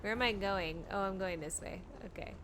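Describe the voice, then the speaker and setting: A woman live-streaming a game, reacting in a casual, excitable and playful way with mock threats. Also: medium pitch, brisk, background noise.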